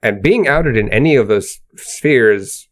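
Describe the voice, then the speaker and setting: A man's voice: mid-range voice, expressive, measured pace. A man with a lisp chatting casually as a podcast co-host.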